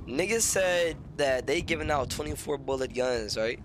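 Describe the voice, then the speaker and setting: A man's voice: medium pitch, expressive, normal speed, background noise. An American man streaming a game with casual, profane, slangy chat, often repeating his phrases. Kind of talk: casual gameplay chat and roleplay.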